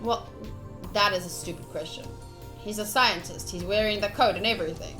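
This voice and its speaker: Female game streamer, mid-pitched, expressive dramatic reading with casual asides, faint music behind. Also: fairly quick. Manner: expressive, playful, theatrical reading. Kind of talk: dramatic read-aloud of visual novel with casual commentary.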